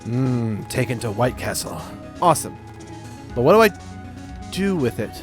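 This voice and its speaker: A man's voice: mid-range voice, very animated, a little slow. A man hosting a gaming video with casual, wry and jokey let's play commentary. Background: game music.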